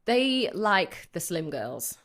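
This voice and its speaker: A woman's voice: lowish voice, slow. A woman in her forties, a fitness coach, talking frankly and telling stories in a casual interview with easy laughter. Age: 40s. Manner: frank, lively storyteller who laughs easily.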